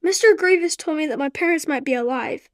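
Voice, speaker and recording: An earnest young orphan boy, voiced by a woman speaks in a high voice. His tone is eager, in the manner of a storybook radio play. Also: brisk, natural voice.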